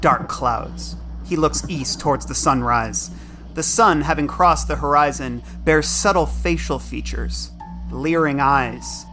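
A woman's voice: low voice, slow pace. A woman narrating an audio drama, setting scenes in terse, scripted phrases. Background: music.

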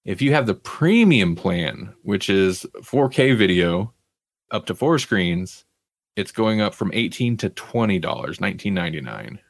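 A man with a fairly low voice chats casually as a podcast host. His delivery is wry and conversational, and the sound is dry, with very little room in it. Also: big pitch swings, unhurried, creaky, no echo. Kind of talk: casual pop culture chat.

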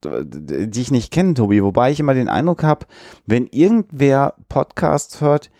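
A man, co-hosting a podcast and telling stories in a lively, joking way. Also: medium pitch, normal speed, no room sound. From Hamburg area. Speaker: podcast co-host. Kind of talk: casual podcast chat.

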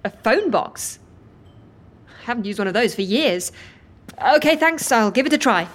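High-voiced, impatient stranded customer. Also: female voice, lively intonation, normal speed. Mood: exasperated. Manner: clipped, terse, insistent. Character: impatient, stranded woman customer, curt and exasperated. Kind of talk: comedy audio drama acting.

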